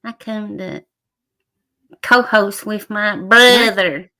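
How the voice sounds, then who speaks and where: A woman's voice: medium pitch, steady tone, slow, sharp tone. A woman co-hosting a livestream, chatting casually in a plainspoken, repetitive way. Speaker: livestream co-host. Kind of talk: casual chat.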